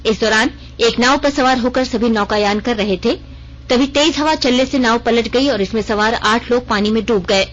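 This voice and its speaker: A woman with a fairly high voice reads a scripted regional news bulletin. Her delivery is formal and measured, as a radio presenter's is. The recording sounds slightly muffled and band-limited.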